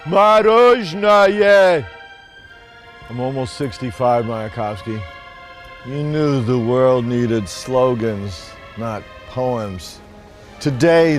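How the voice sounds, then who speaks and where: A man in his sixties, a street poet, giving a theatrical poetic monologue that swings from hushed musing to shouting. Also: fairly high pitch. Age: 60s. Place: outdoors on city streets.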